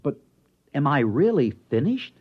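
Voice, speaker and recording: An actor playing an anxious, ambitious bureaucrat and narrator in a melodramatic 1950s radio drama style. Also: male voice, fairly high pitch, measured pace, husky, exaggerated voice. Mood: shocked. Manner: melodramatic, excitable, clipped narration. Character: anxious, ambitious male bureaucrat, self-righteous and vain. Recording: dull vintage 1950s radio recording.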